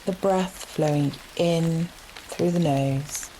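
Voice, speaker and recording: A woman leading a guided relaxation meditation in a gentle, soothing manner, leaving long unhurried pauses between phrases. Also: sits low, a little hiss or hum, low-quality recording. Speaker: yoga nidra meditation guide. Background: steady rain sounds.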